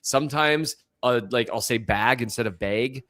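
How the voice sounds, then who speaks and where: A man's voice: mid-range voice, moderate pace, fairly bright tone. Rambling man with a slight Minnesota accent. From upper Midwest and Missouri. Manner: rambling, self-deprecating, chuckles often. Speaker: podcast co-host. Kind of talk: casual sports podcast chat.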